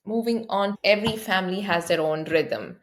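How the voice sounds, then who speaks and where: A woman's voice: medium pitch, moderate pace. A woman hosting a discussion with parents, asking friendly questions with some fillers. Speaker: host. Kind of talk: panel discussion host.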